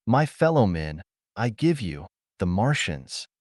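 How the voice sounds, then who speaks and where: A man voicing a pompous, excitable show-business boss with a sonorous, grandiose announcing manner, in an audiobook. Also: on the low side, slow pace, dry recording, studio quality, heavily acted. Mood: grand, solemn.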